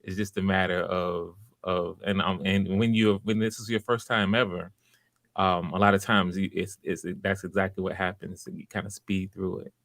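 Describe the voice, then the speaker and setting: A man's voice: sits low, little pitch movement, normal speed, a bit bright, no room sound. A man hosting auditions and giving encouraging, conversational feedback, often restarting his phrases. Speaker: audition host and director.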